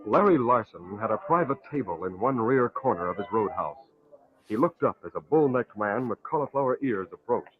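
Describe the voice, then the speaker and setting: Animated male vintage radio narrator. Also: lowish voice, normal speed. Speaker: announcer and narrator. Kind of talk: 1940s radio scripted narration. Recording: tinny, faintly noisy old radio recording.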